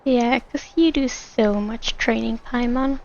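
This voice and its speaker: A woman's voice: a little high, a little slow, vocal fry, darkish tone, slight background noise. A woman streamer reacting casually to a game she is playing, with slightly dull sound over game audio.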